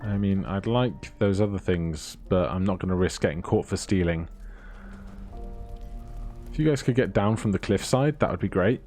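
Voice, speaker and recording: A man's voice: low-pitched, expressive, normal speed, a little mellow, soft background music. A man giving casual let's play commentary, muttering through items with dry humour.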